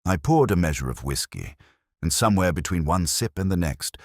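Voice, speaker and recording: A man reads a scripted first-person detective story in a measured, literary way with deliberate pauses. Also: low voice, animated, a little mellow, no room sound, clean studio sound. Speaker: narrator.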